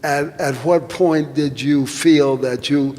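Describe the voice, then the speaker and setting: Man, moderator, slow halting delivery, slightly higher voice, hall reverb. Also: fairly even intonation, a little mellow. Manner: halting, restarts while relaying questions. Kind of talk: hosting a Q&A. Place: auditorium with audience.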